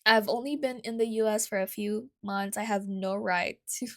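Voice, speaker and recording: A woman's voice: medium pitch, fairly even intonation, normal speed, fairly bright tone. A young woman from the Philippines with a Filipino accent, hosting a casual solo podcast in a chatty way, often correcting herself and adding asides. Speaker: podcast host.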